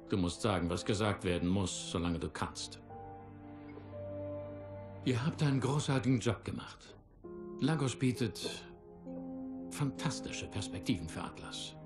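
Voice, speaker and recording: An actor in a German game dub playing an older, commanding military corporate leader, speaking in a measured, oratorical way. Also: male voice, fairly low pitch, animated. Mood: solemn, fatherly. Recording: clear music under the voice.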